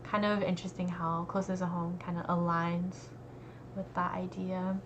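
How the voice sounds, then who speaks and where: A woman's voice: fairly low pitch, moderate pace. Young woman chatting, muffled recording. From United States. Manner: relaxed, many fillers like "like" and "um". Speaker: art student. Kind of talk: casual conversation. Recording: dull lo-fi recording with faint noise.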